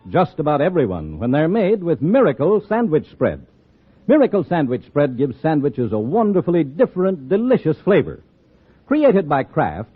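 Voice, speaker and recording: A man's voice: on the high side, breathy edge. An American man reading a scripted 1950s radio advertisement as a commercial announcer. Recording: tinny old radio broadcast.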